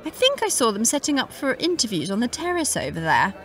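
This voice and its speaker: A woman playing a naive, snobbish high-society lady, gushing and theatrical, in a comic sci-fi audio drama. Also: sing-song, fairly quick, a bit bright. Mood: gushing, curious.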